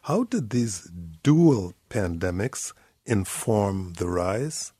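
Measured male radio host. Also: lowish voice, very animated, dark tone, dry recording, studio quality. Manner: measured, gives clear announcements. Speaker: radio talk show host. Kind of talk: radio call-in interview. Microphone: studio mic.

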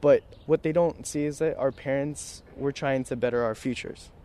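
A man's voice: medium pitch, fairly even intonation, moderate pace, mellow. A young man in his twenties from the Philippines, speaking earnestly in a field interview. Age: 20s. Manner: earnest, conversational with fillers.

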